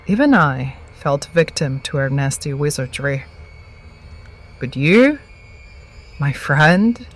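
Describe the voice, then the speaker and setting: A woman's voice: low voice, exaggerated voice. A woman playing an ancient, starved vampire witch in an audio drama, cruel and mocking, with theatrical menace. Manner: slow, taunting, theatrical menace.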